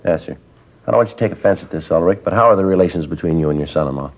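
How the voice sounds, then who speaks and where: An actor playing a terse, matter-of-fact police detective who narrates the case in clipped, businesslike sentences, in a 1950s radio drama. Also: male voice, low-pitched, racing. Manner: clipped, flat, businesslike delivery. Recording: muffled vintage radio broadcast.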